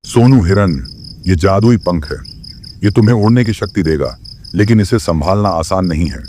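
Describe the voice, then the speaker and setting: Deep, grave old owl played by a man. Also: warm tone, slight background noise, close to an everyday voice. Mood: grave, warning. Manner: stern, measured warning. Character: old territorial owl, grave wise guardian. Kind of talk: Hindi children's cartoon dialogue.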